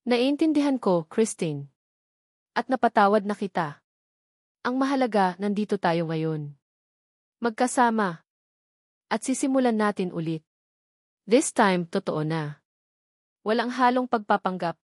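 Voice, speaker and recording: A man's voice: high-pitched, expressive, a little slow, pauses often, no echo, clean studio sound. A woman voicing an earnest, devoted husband who speaks tenderly and emotionally in a romantic audio drama. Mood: forgiving, loving.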